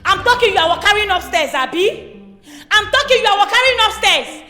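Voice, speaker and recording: A woman playing a quick-tempered madam, scolding in Nigerian Pidgin with a Nigerian accent and laughing asides. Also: extremely high pitch, lively intonation, bright, forceful tone, faint music behind, exaggerated voice. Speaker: employer scolding her house help. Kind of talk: comedy skit acting.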